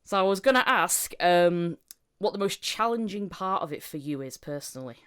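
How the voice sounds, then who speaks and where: A woman with a lowish voice hosting a casual podcast chat, animated and quick to laugh as she talks. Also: clean tone. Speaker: podcast host and interviewer.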